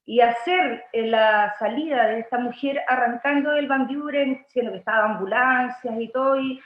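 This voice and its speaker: A Chilean actress and playwright talking over a video call, halting, with many restarts. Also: female voice, fairly high pitch. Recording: echoey room, muffled lo-fi sound.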